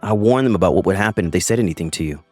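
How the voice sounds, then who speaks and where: Arrogant husband in his thirties, low and quick, dismissive, voiced by a man; dry close recording. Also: no room sound, faint music behind. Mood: cold. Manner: dismissive, condescending.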